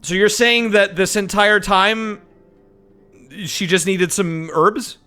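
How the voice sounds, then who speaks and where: A man's voice: high voice, fairly even intonation, slow, bright, forceful tone, smooth, faint music behind. A man doing casual, joking game commentary as a streamer, sweary and mock-dramatic.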